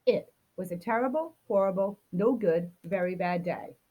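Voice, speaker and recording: A woman's voice: mid-range voice, normal speed, dull audio. A woman reading a children's picture book aloud, expressively acting out a grumpy child's complaints. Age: adult. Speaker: storybook reader.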